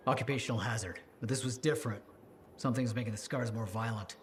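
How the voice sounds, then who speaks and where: Businesslike female game agent: extremely low pitch, rapid, guarded; noisy background. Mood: guarded, serious. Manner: matter-of-fact. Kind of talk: video game cutscene acting.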